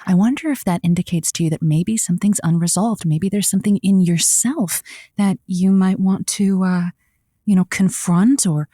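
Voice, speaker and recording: A woman playing a gentle, easily flustered radio advice host, speaking in hesitant therapist-like phrases in a comedy drama. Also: mid-range voice, moderate pace, dry recording, clean studio sound, lightly acted. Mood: soothing, encouraging. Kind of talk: scripted comedy radio acting.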